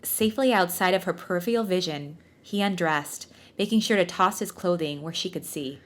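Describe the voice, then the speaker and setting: A woman's voice: fairly low pitch, fairly quick. Woman podcast host reading fiction with playful theatrics. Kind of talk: comedic read-aloud with casual banter.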